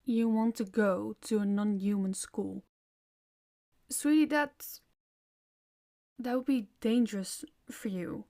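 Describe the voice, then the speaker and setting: A woman playing a gentle, concerned vampire mother in an audio roleplay, coaxing a child with nurturing but firm parental care. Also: mid-range voice, takes it slowly, long pauses. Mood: worried, coaxing. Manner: soft-hearted, coaxing, parental.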